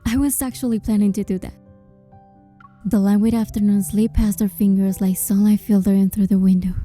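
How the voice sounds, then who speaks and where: A woman with a very mellow, dark tone narrating her own character's story over soft music, as heard in a fan reading of a romance game. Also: mid-range voice, steady tone, fairly quick. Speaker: narrator and heroine's voice. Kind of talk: scripted first-person story narration. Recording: music under the voice.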